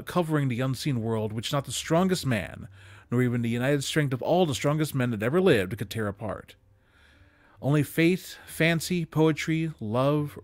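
A man reading classic prose aloud expressively, with measured, dramatic emphasis, close to a desk microphone. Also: medium pitch, no echo. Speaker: reader of holiday stories aloud. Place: small indoor room with bookshelves. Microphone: desk condenser microphone, close. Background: music.

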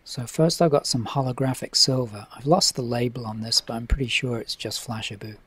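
A man's voice: medium pitch, brisk, husky, dry recording. Middle-aged male fly-tying instructor, methodical and easygoing, dry close-mic sound. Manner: relaxed, methodical, with frequent uh fillers. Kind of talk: instructional voice-over. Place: home tying desk.

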